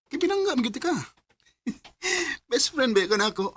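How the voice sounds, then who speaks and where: A man's voice: extremely high pitch, sing-song, moderate pace, fairly bright tone, full character voice. An actor playing an easygoing, affectionate and oblivious young man in a radio drama. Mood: breezy, affectionate.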